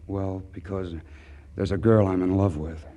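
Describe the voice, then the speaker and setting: A man's voice: deep voice, steady tone, a little slow, warm tone. An actor playing a shy, earnest young man in love, hesitant and stammering, in a 1940s radio comedy. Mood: dejected. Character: shy, lovesick, earnest young male student. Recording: vintage 1940s radio recording.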